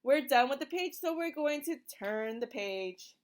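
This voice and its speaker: A woman's voice: high voice, animated, measured pace, a little hiss or hum. A woman reading a picture book aloud to young children in an encouraging, sing-song teacher's manner, asking questions and drawing out short phrases.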